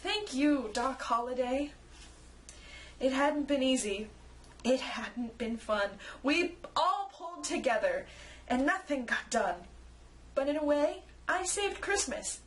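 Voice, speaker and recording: A woman narrating a rhymed children's story in an expressive, theatrical way. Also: sits high, a little slow. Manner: theatrical, acts out character lines.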